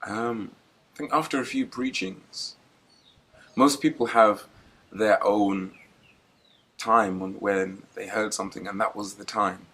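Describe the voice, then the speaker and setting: A young man from Zimbabwe, guest at a church interview, telling his story in a relaxed, reflective way and laughing easily. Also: lowish voice, slow pace, long pauses, slightly rough. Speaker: guest preacher.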